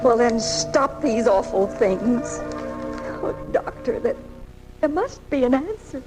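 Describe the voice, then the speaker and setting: A woman's voice: a little high, animated, takes it slowly, music in the background, rough recording. A woman playing a distraught, pleading mother of a sick child in a 1980s live radio drama, recorded off the air. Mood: anguished. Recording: home recording of radio broadcast.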